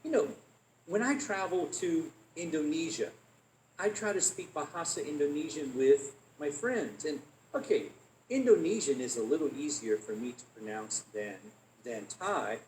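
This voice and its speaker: A man's voice: fairly high pitch, lively intonation, moderate pace, a little mellow, a little hiss or hum. A man giving a lecture to an audience, who restarts phrases often and checks in with "okay?". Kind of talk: animated academic lecture. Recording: echoey room.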